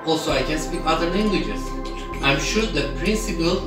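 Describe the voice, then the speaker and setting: Turkish law student with echo. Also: male voice, a little high, expressive, moderate pace, music in the background. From Turkey. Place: room with curtains indoors.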